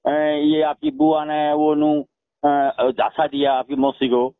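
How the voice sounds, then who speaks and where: A man's voice: on the high side, monotone, slow, no echo. A middle-aged man with a Haryanvi accent, arguing insistently on a recorded phone call and repeating himself to be heard. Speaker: father-in-law in a family dispute. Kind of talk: heated phone conversation. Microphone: telephone.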